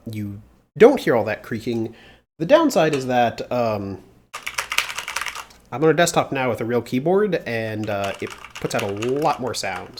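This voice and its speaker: A man with a slightly congested voice talks his way through work on a live stream. He speaks casually at a middle pitch, pausing often with fillers as he thinks aloud into a mounted desk mic. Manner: thinking aloud, relaxed, many filler pauses. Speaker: livestreamer doing security research. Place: home desk with mechanical keyboard. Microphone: mounted desk studio mic.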